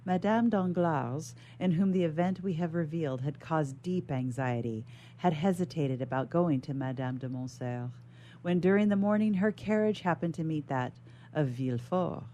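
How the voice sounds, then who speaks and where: A woman's voice: deep voice, normal speed, mellow, slight background noise. A woman reading a classic novel aloud as a guest reader on a radio show.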